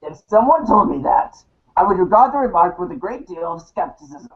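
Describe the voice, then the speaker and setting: A man voicing an aloof, sardonic, pedantic teenage girl in a deadpan, formal manner. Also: high voice, fairly quick, muffled recording. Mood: dry, sardonic.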